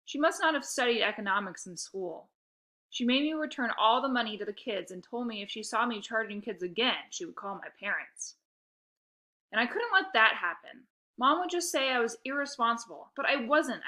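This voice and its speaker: A woman narrating a children's story aloud with expressive, scripted delivery. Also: fairly high pitch.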